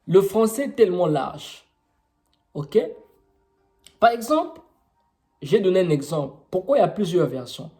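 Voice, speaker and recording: Young Congolese man, French with Congolese accent, Kituba native speaker, warm voice, informal teaching with rhetorical questions. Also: high-pitched, big pitch swings, normal speed, pauses often, faint music behind. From Congo-Brazzaville. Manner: explanatory, with rhetorical questions and many fillers.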